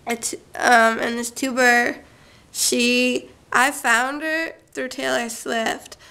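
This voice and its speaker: A woman's voice: fairly high pitch, fairly even intonation, takes it slowly, gravelly, sharp tone, clear, resonant tone. A young woman vlogging to her camera in a rambling, casual monologue, going off on tangents with lots of fillers. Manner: chatty, goes off on tangents, many fillers. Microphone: camera mic.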